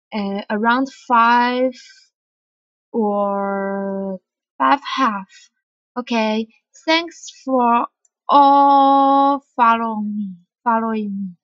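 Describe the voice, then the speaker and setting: A woman streamer from Taiwan, chatting playfully and halting when speaking English. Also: on the high side, a bit bright, no echo, dull audio. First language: Mandarin. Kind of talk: live game stream chat.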